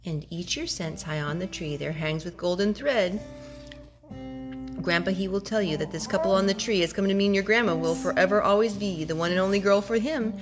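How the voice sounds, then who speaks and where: A woman reading a rhyming picture book aloud to young children, with expressive, gently dramatic storytelling. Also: mid-range voice, brisk, music in the background.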